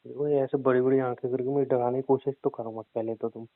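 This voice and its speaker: A man's voice: mid-range voice, little pitch movement, fast, no room sound, close to an everyday voice. A man playing an evasive, bossy husband, cajoling and repeating himself in a naturalistic audio drama argument. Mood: irritated. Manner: cajoling, repetitive, stubborn. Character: evasive, bossy husband stubbornly putting off his wife. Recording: tinny, dry phone-call sound.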